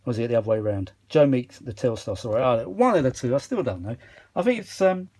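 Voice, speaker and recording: A man's voice: mid-range voice, expressive, fast, dark tone. Chatty, rambling man presenting a hobby vlog. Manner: chatty, self-deprecating, often losing his thread and searching for words. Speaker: vintage audio restorer presenting his own video. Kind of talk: rambling casual demonstration vlog. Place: small cluttered kitchen used as a workshop.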